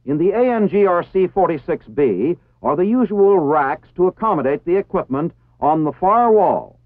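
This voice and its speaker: An American man narrates a vintage training film in a formal, precise voice. His pitch sits high and moves animatedly. The sound is dry and thin, like an old phone-band soundtrack. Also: big pitch swings, normal speed, no echo, phone-quality sound. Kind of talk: formal scripted instructional narration.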